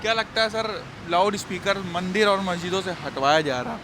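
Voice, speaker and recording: A man's voice: high voice, moderate pace. A male reporter asking passersby short, prompting questions on the street. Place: outdoors by a busy street. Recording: clear traffic noise.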